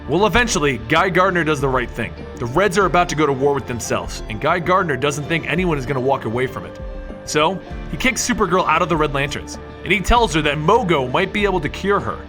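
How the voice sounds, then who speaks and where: A man narrating a comic book story with dramatic, emphatic delivery and rhetorical questions. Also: high voice. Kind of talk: animated scripted storytelling narration.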